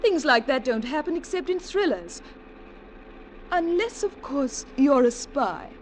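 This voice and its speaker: A jaded posh english girl in a 40s radio play, very lively pitch, old noisy recording. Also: a little slow. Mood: breezy, cynical. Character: cynical, bored young rich English heiress. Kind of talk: 1940s radio acting.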